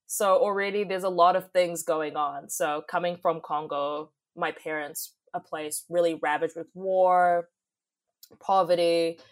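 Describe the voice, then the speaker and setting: A woman's voice: fairly low pitch, fairly even intonation, measured pace. A young woman, reflecting thoughtfully and expressively in a conversational interview. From New Zealand. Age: young adult. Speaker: podcast guest, youth advocate and poet.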